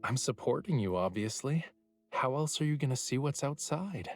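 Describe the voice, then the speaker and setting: A man's voice: medium pitch, animated, moderate pace, close to an everyday voice. Romance game lead, male actor: haughty young charmer, playful, wry, intimate, music underneath. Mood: teasing. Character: teasing, haughty young man posing as a pampered favorite. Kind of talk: English game dub, intimate romance acting.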